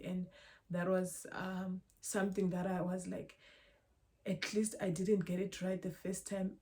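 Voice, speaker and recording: Low, flat-voiced young woman from Zimbabwe. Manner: reflective, halting, emotional. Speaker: vlogger. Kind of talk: personal confessional vlog.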